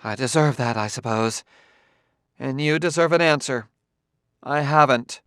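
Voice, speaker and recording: A man reading an audiobook, voicing a brisk, matter-of-fact woman who runs a children's farm, easily exasperated. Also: medium pitch, a bit bright, clear, resonant tone, no echo, clean studio sound, pitched above the performer's own voice, lightly acted. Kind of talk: audiobook character voice.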